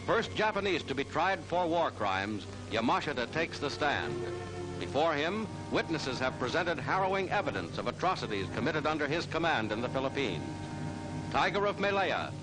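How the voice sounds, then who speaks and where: A man's voice: a little high, big pitch swings, normal speed, music in the background, poor audio. A man reads scripted 1940s newsreel narration in a formal, declarative announcer style on a vintage soundtrack.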